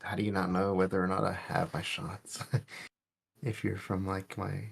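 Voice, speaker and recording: A woman's voice: rumbling low, level tone, unhurried, creaky, slightly rough. A woman chatting casually as a companion on a game livestream. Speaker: streamer's chat companion.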